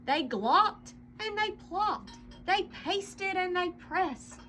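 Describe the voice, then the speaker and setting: A woman reading a children's picture book aloud as a storyteller, with expressive, dramatic delivery and drawn-out emphasis. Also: extremely high pitch, slight background noise.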